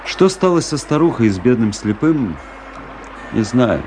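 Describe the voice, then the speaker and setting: An actor playing a wry, melancholy army officer who narrates his story in a measured, reflective radio-play style. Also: medium pitch, sing-song, over music, lightly acted. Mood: resigned, detached. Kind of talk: dramatic literary radio narration.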